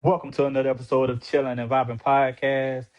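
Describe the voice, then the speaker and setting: A man's voice: mid-range voice, flat delivery, fast talker. A man hosting a podcast, asking questions in a laid-back, slangy, hype-man way. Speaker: podcast host. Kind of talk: casual podcast interview. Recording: dull lo-fi sound.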